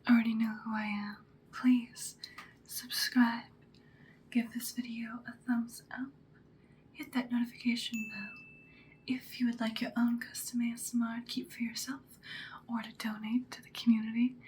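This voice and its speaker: A woman giving an ASMR host's introduction in a breathy whisper. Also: a little high, darkish tone, slight background noise. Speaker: ASMR channel host.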